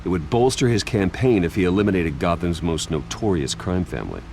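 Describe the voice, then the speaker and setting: A brooding billionaire vigilante in a dramatic game. His voice is extremely deep and full of fry, deliberate and controlled. He sounds determined and serious, over faint background noise. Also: lively intonation, mellow. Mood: firm, reasoning. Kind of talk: dramatic video game acting.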